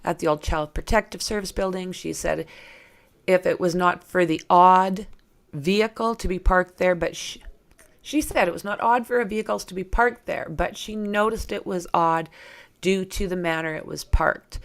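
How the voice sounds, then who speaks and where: A woman with a creaky voice hosting a true crime livestream, reading aloud and chatting informally with viewers as she thinks aloud. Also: lowish voice, moderate pace. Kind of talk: reading documents aloud with casual asides to viewers.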